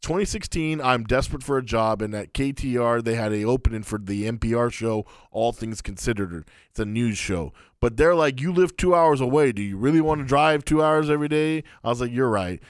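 Animated man telling a story. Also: fairly quick, few pauses, no echo, clean studio sound. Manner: animated storyteller, acts out quoted people in a higher voice. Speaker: podcast co-host. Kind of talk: casual storytelling chat.

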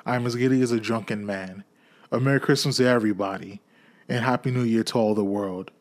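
A man reading aloud plays an elderly reformed miser bursting with happiness. His voice climbs above its usual pitch as he exclaims with giddy energy. It is a storybook performance driven by delight. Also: normal speed, close to an everyday voice. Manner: excited, exclamatory, raised voice. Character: old male miser, giddy and overjoyed, redeemed.

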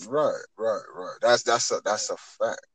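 Low-voiced man in his twenties, repeating phrases. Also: a little slow. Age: 20s. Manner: earnest, repeats and rephrases phrases as he builds a point. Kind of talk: spiritual talk in conversation.

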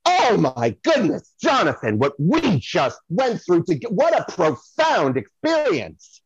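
A woman's voice: on the low side, wildly swooping pitch, unhurried, slightly rough, exaggerated voice. A woman playing a brash, foul-mouthed, rambling celebrity psychic in a comedic podcast audio play. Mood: breathless, awed. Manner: theatrical, digressive, addressing the host by name. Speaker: guest playing a comic psychic. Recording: dull lo-fi dry audio.

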